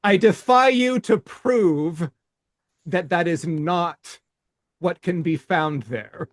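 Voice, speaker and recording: Slow, higher-voiced man reading a rhyming poem. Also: no echo, clean studio sound. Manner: slow, deliberate, with halting restarts in chat. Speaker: podcast co-host. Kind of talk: reading his own rhyming poem aloud, then casual chat.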